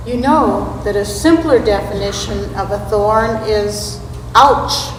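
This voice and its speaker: Woman, distant echoey hall recording, slow measured dramatic reading, slightly high voice. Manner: deliberate, measured delivery. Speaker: church readers theater performer. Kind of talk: scripted dramatic recitation. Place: large reverberant church space. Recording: echoey hall, distant mic, background noise.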